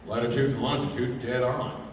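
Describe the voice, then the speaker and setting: A man's voice: mid-range voice, little pitch movement, moderate pace, background noise, lightly acted. A man playing a hearty, emphatic expedition navigator in a 1930s-style radio adventure. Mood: surprised. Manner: emphatic, exclamatory. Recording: very echoey hall, distant mic, music.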